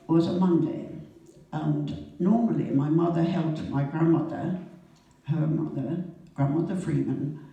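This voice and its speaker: A woman, telling her wartime memories to a church congregation in a halting way, with pauses and throat-clearing. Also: fairly low pitch, warm tone. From Norwich, England. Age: 80s. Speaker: guest speaker sharing memories. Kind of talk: personal storytelling to a congregation. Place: church hall. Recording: echoey church hall from far off.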